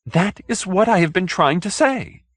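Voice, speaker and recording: A man voicing a timid, formal little gentleman in an audiobook, fussy, hesitant and over-polite. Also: high voice, animated, normal speed, no room sound, voice pushed up. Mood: grateful.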